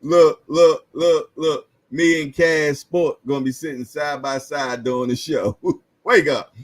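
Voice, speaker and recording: A man in his fifties hosts a boxing livestream in a slow, unhurried drawl. He chuckles often, repeats himself and trades slangy banter with his viewers. His voice sounds close and dry, with no room echo. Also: on the high side, huge pitch swings. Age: 50s. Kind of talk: casual livestream chat reading viewer comments.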